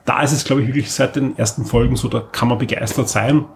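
A man's voice: mid-range voice, steady tone, fast, vocal fry, a little hiss or hum. A man with an Austrian accent hosting a casual podcast, rambling along with enthusiasm. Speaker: podcast host.

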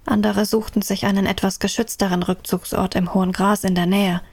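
Female third-person narrator; measured, mid-pitched, dry studio sound. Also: level tone, creaky, husky, dry recording. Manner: measured, descriptive.

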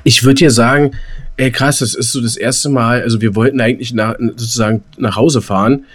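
A man's voice: medium pitch, steady tone, brisk. A man co-hosting a podcast in casual banter, teasing and often restarting his phrases. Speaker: podcast co-host. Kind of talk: casual banter between friends.

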